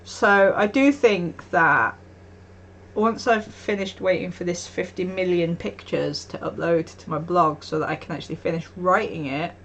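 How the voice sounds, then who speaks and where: A woman's voice: fairly low pitch, moderate pace, fairly bright tone, slight background noise. A woman streaming live, chatting casually and telling stories with frequent laughs and acted-out quotes. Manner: chatty storytelling, often laughing, acting out quotes. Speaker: art streamer.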